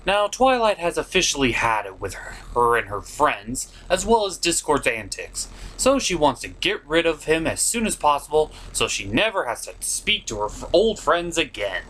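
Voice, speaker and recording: Animated, theatrical man ranting in a review. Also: a little high, moderate pace, hardly any pauses, poor audio. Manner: theatrical, sarcastic, ranting exasperation. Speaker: host of an online puppet review show. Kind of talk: comedic scripted cartoon review.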